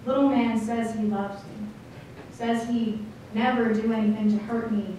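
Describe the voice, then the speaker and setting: A woman performing slam poetry, speaking deliberately with dramatic phrasing and pauses. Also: a little high, steady tone, dark tone, far from the mic. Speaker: slam poet. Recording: echoey room from afar, faint noise.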